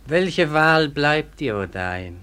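An actor in a German radio drama playing a cautious, commanding raja with deliberate, authoritative delivery. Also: male voice, fairly high pitch, big pitch swings, sharp tone, clear, resonant tone, heavily acted. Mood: stern, advising.

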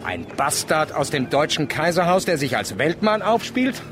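A man's voice: a little high, sing-song, normal speed, over music. A man playing a scornful, swaggering adventurer in a radio drama, speaking in a theatrical, mocking manner. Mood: scornful, gleeful. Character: scornful, swaggering male adventurer out to expose rivals.

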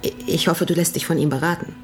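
A woman's voice: deep voice, steady tone, fast, music in the background, lightly acted. An actress playing a tactful, evasive woman, the confidante of a young writer, in a German radio play. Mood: surprised.

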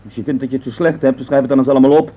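A man's voice: medium pitch, steady tone, racing, lightly acted. An actor playing an impatient, evasive middle-aged husband and office man in a radio play, grumbling and dismissive. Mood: irritated. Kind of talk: naturalistic Dutch radio play acting. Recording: muffled old radio play recording.

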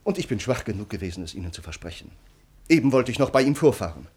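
An actor playing an earnest, brooding count and devoted bridegroom in a formal, declamatory classical German radio play. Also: male voice, on the low side, very animated, fast, darkish tone, slightly rough. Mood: agitated, gloomy.

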